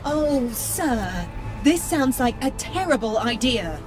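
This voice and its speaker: Female AI co-pilot, calm, formal, measured, fairly high pitch, video game, loud music. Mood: doubtful.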